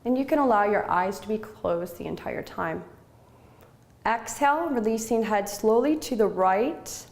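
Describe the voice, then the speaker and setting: A woman yoga instructor leading a guided relaxation in short, measured, soothing phrases with pauses between them. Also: medium pitch, expressive, a little mellow.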